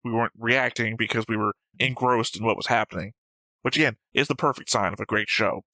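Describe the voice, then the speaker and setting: A man's voice: lowish voice, a little fast, pushed and bright, dry recording. A man co-hosting a reaction show, talking through his opinions in casual, argumentative discussion. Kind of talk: casual discussion and episode commentary.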